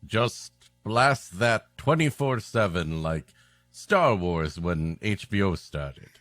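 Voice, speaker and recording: Wry, low-voiced male comedy co-host. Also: very animated, slow, fairly bright tone, no room sound, clean studio sound, faint music behind. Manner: wry, riffing with mock-serious asides. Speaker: podcast co-host. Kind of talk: casual comic banter.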